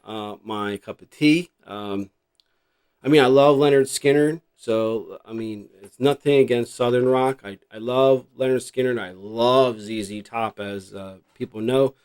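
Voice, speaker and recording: A man's voice: medium pitch, measured pace, darkish tone, clear, resonant tone. Rambling middle-aged American man, chatting casually. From New Jersey, United States. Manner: rambling, reflective, thinking aloud. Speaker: host showing a cassette collection. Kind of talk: casual unscripted vlog chat.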